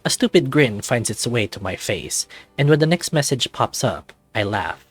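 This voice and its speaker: A man with a middle-pitched voice reads a visual novel in the first person. His telling is expressive and animated. The sound is dry and close, with soft music underneath. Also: moderate pace, husky, no echo. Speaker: channel host and reader.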